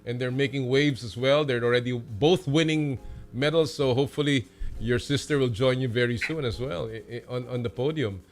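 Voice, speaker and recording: A man with a Filipino accent hosting a live interview, framing questions conversationally in a halting way with repeated words. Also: mid-range voice, faint music behind. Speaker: talk show host.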